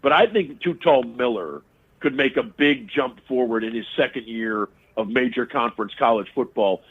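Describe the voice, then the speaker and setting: A man calls in as a sports radio guest, his voice squeezed through a thin, tinny phone line. He talks analytically at a middle pitch, often circling back and restarting phrases mid-thought. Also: breathy edge. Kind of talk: casual sports interview.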